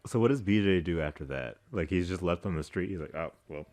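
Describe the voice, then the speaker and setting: A man's voice: low voice, lively intonation, fast talker, a little mellow. A man giving casual, wry commentary as the host of a movie reaction video.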